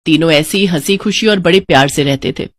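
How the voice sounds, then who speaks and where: A woman's voice: sits low, a little fast, no room sound. Indian woman narrating a story, dry sound. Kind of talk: scripted Hindi moral-story narration.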